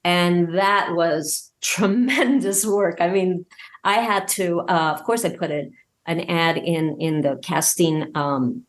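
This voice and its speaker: A woman's voice: fairly low pitch, takes it slowly. A woman guest chatting casually in an interview about her own creative work, acting out bits of dialogue as she tells it. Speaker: guest, audio drama podcast creator.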